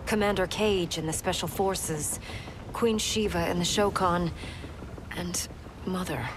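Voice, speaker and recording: Woman as an earnest, low-voiced warrior leader. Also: measured pace, background noise, close to an everyday voice. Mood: worried. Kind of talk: video game cutscene acting.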